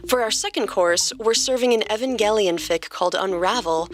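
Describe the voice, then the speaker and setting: A woman's voice: medium pitch, normal speed, faint music behind. A woman hosting a podcast and narrating a story expressively from a script.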